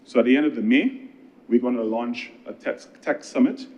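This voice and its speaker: A man with a Barbadian accent delivering a parliamentary speech as a government minister, conversational and earnest, with emphatic pauses. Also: mid-range voice, muffled recording. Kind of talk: political speech in parliament with anecdotes.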